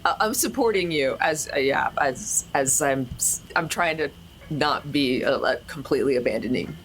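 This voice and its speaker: A woman with an extremely low voice plays a tabletop game. She speaks casually and haltingly, with a little noise behind her. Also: expressive. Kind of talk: casual in-character chat.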